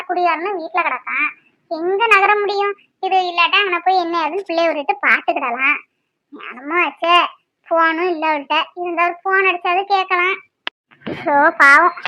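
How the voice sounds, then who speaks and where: A woman's voice: extremely high pitch, pushed and bright, highs cut off. A woman acting a feisty, protective village housewife in a drama, blunt and chatty.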